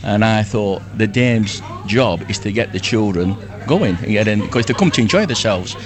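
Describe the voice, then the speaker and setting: Low-voiced, chatty older Lancashire man. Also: expressive, normal speed. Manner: chatty, effusive, repeats himself. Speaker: interview guest, amateur pantomime performer. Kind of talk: casual live radio interview.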